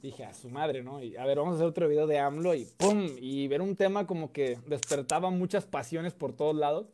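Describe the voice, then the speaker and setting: A man with a Mexican accent and a soft, mellow tone that sits a little high for a man. He tells his stories loosely, full of fillers and restarts, as a guest in a casual sit-down chat. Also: a little fast, a little hiss or hum. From Mexico (Coahuila). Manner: relaxed, chatty, many fillers and repeats. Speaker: guest, content creator.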